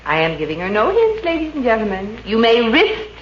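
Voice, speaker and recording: A woman playing a brassy, quick-witted showgirl with wisecracking, theatrical patter in 1950s radio comedy style. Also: a little high, huge pitch swings. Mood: showy patter. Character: brassy, quick-witted showgirl running a mind-reading act. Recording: muffled, noisy 1950s radio recording, roomy.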